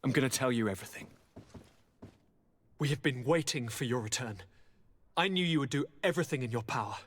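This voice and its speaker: An actor playing a cheeky, blithe young highborn gentleman in a fantasy game, with a breathy voice and wry, courtly, theatrical manner. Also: fairly quick, close to an everyday voice. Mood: wry, affectionate. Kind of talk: dramatic fantasy game acting.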